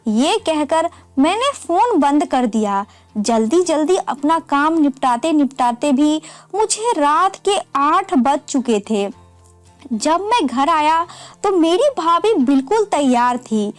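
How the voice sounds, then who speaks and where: A woman's voice: sits high, very animated, slow, no echo. A woman narrating a scripted, emotional first-person family story in a dramatic storytelling manner.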